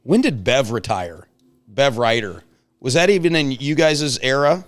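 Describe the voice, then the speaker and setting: A man's voice: mid-range voice, expressive, unhurried. A middle-aged man hosting a casual chat, telling anecdotes and joking as he prods his guests. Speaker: show host.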